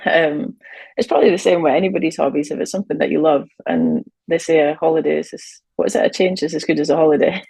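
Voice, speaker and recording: A young Shetland woman sailor chatting about music in a relaxed interview on a video call from a hotel room, with plenty of ums and easy laughs. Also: low-pitched, fast talker, creaky, breathy edge, no room sound. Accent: Shetland Scottish. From Shetland, Scotland. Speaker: sailor and fiddler, podcast guest. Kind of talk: casual interview chat.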